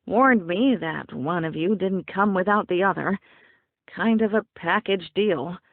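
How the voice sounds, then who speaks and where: A woman's voice: on the low side, a little slow, dry recording, phone-quality sound. A woman voicing a melodramatic, long-suffering man, the put-upon boyfriend in an audiobook. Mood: wilted, relieved.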